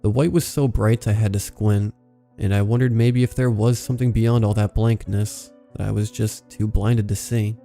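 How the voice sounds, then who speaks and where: A man narrating a scripted horror story in a measured, serious way. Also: lowish voice, steady tone, vocal fry, warm tone, faint music behind.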